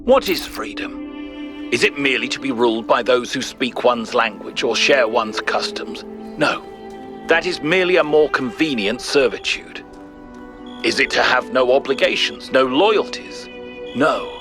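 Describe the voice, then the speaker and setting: Guy doing a thoughtful greek philosopher monologue for a game, mid pitched, very expressive, measured and rhetorical, faint music under it. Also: natural voice. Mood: earnest, rhetorical. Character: thoughtful, principled ancient Greek philosopher, questioning and grave. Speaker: narrator of philosophical audio logs.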